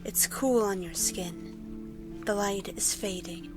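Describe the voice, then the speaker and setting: An actress playing a calm, tender elf woman in an audio drama, speaking in a hushed, soothing, hypnotic manner. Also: medium pitch, takes it slowly, close to an everyday voice. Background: music.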